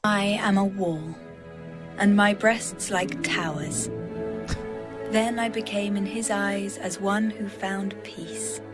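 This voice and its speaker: Woman acting a proud, unhurried biblical bride over music. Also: mid-range voice, fairly even intonation, natural voice. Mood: proud, measured. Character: young bride in biblical love poetry, devoted and proud. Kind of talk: dramatised audio Bible reading over music.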